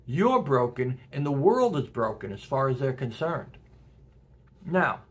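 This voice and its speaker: A man's voice: medium pitch, slightly rough. A middle-aged man talking casually and earnestly to camera at home, with short laughs between points. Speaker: self-help video host. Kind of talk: casual direct-to-camera talk. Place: home room with porch window and plants.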